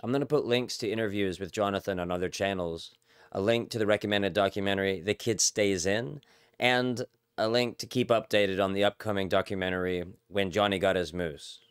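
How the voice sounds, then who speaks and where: A low-pitched man in his thirties hosts a casual podcast chat. He talks earnestly and rambles, restarting his sentences. His voice is close and dry, recorded on a studio mic in a small foam-lined room. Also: steady tone, a little fast, clean tone, dry recording. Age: 30s. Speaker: podcast host. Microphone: large studio mic on a stand, headphones.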